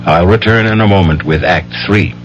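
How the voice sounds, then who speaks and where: An older man hosting a radio mystery series, narrating gravely in a solemn, measured, dramatic way. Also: sits low. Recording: dull radio recording with music.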